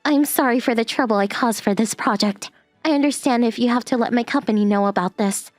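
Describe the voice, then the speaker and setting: A woman's voice: a little high, brisk, soft background music, natural voice. A woman playing a shy, earnest young step-sister in an anime-style manga dub, speaking in a hesitant, meek way. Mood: apologetic.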